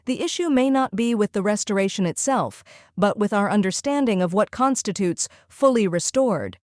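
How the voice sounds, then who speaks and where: A woman as a calm, reflective church member. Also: mid-range voice, moderate pace, no echo, clean studio sound, natural voice. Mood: thoughtful. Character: thoughtful female church member.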